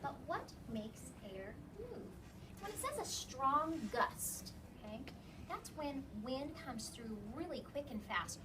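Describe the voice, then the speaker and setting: A woman with a fairly high voice reads aloud to a class of young children as their teacher. She explains in an instructive way and often checks understanding with questions. The recording is distant, with clear classroom noise around her. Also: very animated, slow. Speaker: elementary school teacher. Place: classroom, recorded from across the room. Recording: distant mic, noisy classroom background.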